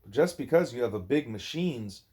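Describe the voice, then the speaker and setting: A man reading a spiritual dialogue aloud at home, speaking deliberately and sometimes correcting himself. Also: medium pitch, animated, darkish tone. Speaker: book reader, parent.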